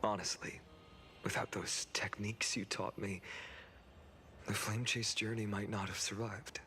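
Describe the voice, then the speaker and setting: An actor playing a noble, gentle young lord in a video game, formal, measured and earnest. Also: male voice, on the low side, little pitch movement. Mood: gentle, warm. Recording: music behind the voice.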